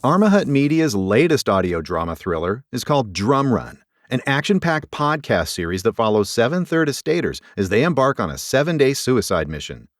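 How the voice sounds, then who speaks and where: A man's voice: medium pitch, big pitch swings, normal speed, hardly any pauses, dry recording, studio quality. A man reading a scripted podcast promo as an announcer.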